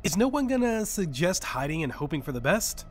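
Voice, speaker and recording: A worn-out male survivor in a science fiction audio drama. He whines and drips sarcasm. His voice sits a little high for a man. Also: sing-song, brisk, a little hiss or hum. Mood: sarcastic, fed up. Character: sarcastic, whining, exhausted man, alien-ship survivor.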